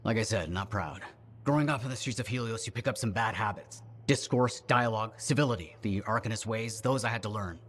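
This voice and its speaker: A man as an earnest, low-voiced bookish scholar. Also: a little fast, lightly acted. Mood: sheepish, defensive. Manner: articulate, formal, earnest. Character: earnest, bookish male scholar with a scrappy streak. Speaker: video game character actor. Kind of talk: video game dialogue acting.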